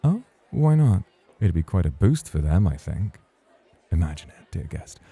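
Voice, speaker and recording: A man's voice: deep voice, sing-song, moderate pace, warm tone, heavily acted. A man playing a suave, teasing champion nightclub host in a romantic ASMR roleplay, speaking in a courtly, provocative way. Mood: teasing, courting. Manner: smooth, courtly, playfully provocative.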